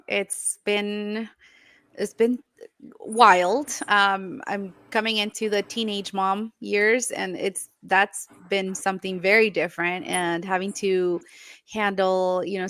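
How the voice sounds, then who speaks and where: Upbeat bright-voiced woman guest. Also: medium pitch, smooth, dry recording. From Guatemala, raised in California. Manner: laughs often, upbeat. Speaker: business founder. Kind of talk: casual chat.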